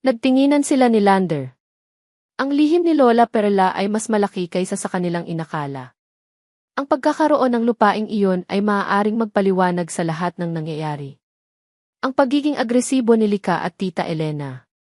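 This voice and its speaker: A woman's voice: mid-range voice, animated, normal speed, a little mellow, dry recording, studio quality. A woman reading scripted, dramatic story narration as the narrator of an audio tale.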